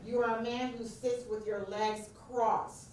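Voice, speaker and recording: Deep-voiced New York woman leading a workshop. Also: level tone, unhurried, a little mellow. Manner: engaging, prompts the audience directly. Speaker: workshop presenter. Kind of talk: interactive workshop talk. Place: church meeting room. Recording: very echoey room, distant mic, faint noise.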